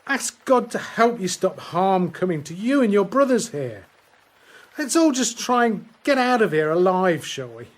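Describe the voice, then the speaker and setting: An actor playing a grim, weary First World War soldier counselling a lad in a radio drama, measured and earnest with pauses. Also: male voice, sits high, sing-song. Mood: grim, intense.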